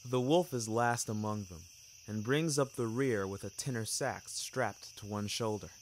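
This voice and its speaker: A man narrating a scripted first-person story for a fiction podcast in measured, literary phrasing. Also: fairly low pitch, a little hiss or hum.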